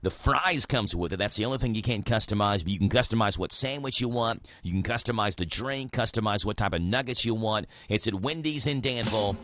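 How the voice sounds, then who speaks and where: A man hosting morning radio, upbeat and casual. His voice is bright and forward, recorded close and dry in the studio. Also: on the low side, fairly quick, hardly any pauses, no room sound. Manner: upbeat, plain-spoken, casual. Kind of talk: radio show hosting and live ad read.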